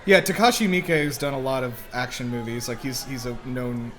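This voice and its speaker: A man's voice: mid-range voice, moderate pace, a bit bright. A man giving casual, chatty reaction commentary with exclamations and plenty of "like". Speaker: movie reaction host.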